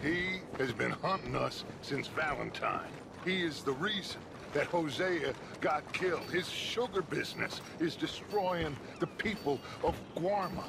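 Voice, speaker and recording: An actor playing a charismatic outlaw gang leader who talks in a persuasive, paternal way. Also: male voice, a little high, expressive, measured pace, vocal fry, background noise. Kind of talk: video game cutscene acting.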